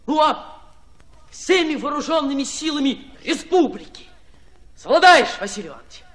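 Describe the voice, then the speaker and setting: An actor playing an eager, naive young soldier orderly, excitable and folksy, in a radio drama. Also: male voice, very high voice, moderate pace, full character voice. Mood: curious, awed.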